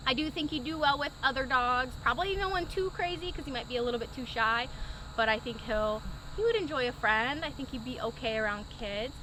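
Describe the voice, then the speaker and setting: A woman with a high voice talks in a casual, unscripted way, like a shelter worker handling a dog. She is recorded outdoors, with clear background noise around her and her voice sometimes at a distance. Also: lively intonation, brisk. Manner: informal, talks to the dog in short commands and pet names. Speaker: animal shelter worker presenting an adoptable dog. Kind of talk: casual chat and unscripted pet-adoption presentation.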